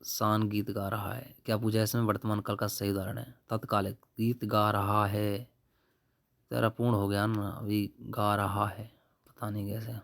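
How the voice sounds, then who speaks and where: A man's voice: fairly low pitch, flat delivery, moderate pace, gravelly, a bit bright. A young man, informally going through exam questions and answers as a tutor in an offhand, colloquial manner. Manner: offhand, colloquial, addresses viewers as brother. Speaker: exam-prep YouTube tutor.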